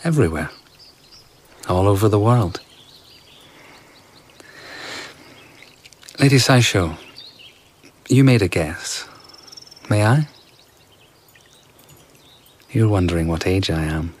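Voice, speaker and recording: A mysterious, charming male storyteller with a very low voice. He spins tales playfully and unhurriedly in radio drama style, with faint insect ambience behind. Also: lively intonation, a little slow, pauses often, slight background noise. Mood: flirtatious. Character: enigmatic, charming, flirtatious well-travelled male storyteller of uncertain age. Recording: outdoor garden ambience with insect chirps.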